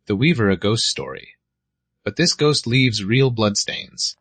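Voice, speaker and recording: A man's voice: on the low side, expressive, unhurried, a bit bright, slightly rough, no room sound, heavily acted. A man playing a world-weary, suspicious detective in a screenplay reading. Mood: wary, brooding.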